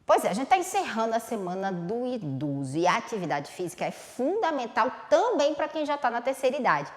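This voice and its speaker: Lively woman news anchor. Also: on the high side, brisk, big-room sound. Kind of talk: scripted news presenting.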